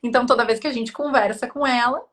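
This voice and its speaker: A woman, a professor and guest expert explaining a technical topic in a clear, teacherly way. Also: on the high side, fairly even intonation, fast, a bit bright. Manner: clear, explanatory, frequent "né?" tags. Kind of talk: didactic panel explanation.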